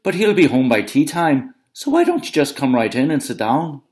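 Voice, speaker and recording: A man's voice: fairly high pitch, very animated, moderate pace, darkish tone, pitched above the performer's own voice. A man voicing a clever giant's wife who speaks in a sugary, coaxing way. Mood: sweetly coaxing.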